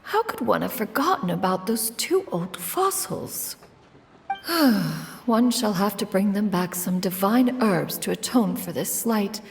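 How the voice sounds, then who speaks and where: A woman's voice: mid-range voice, animated, slow, vocal fry, slight background noise. A woman playing a proud, aloof, eccentric elder immortal in a video game, speaking imperiously in archaic, formal phrasing. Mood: aloof, musing. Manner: imperious, archaic formal diction, calls herself "one".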